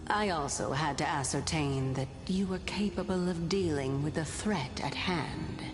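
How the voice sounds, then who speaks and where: Unhurried, low-voiced goddess in long reverb. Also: female voice. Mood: solemn, composed. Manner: measured, formal, with knowing chuckles. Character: ancient sly god of reason, unhurried and teasing, female. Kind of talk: video game voice acting. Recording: long reverb, music behind.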